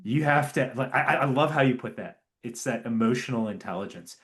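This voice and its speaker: A man's voice: medium pitch, fairly quick, fairly bright tone. An enthusiastic man hosts a casual interview. He affirms his guest often and trips over repeated words as he starts his points. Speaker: podcast host.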